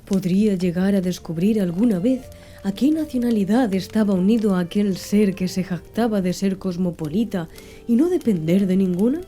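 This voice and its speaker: A woman narrating a classic novel in a hushed ASMR voice, very dark and mellow, unhurried delivery. Her pitch sits in an ordinary middle range. Faint music plays softly beneath her. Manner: soft, slow, hushed reading. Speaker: audiobook narrator. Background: faint background music.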